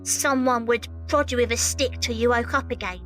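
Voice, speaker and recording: A woman's voice: on the high side, steady tone, moderate pace, a bit bright, faint music behind, natural voice. An actress playing a tough, weary young Victorian match girl, acting and narrating in an audio drama. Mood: exhausted, grim.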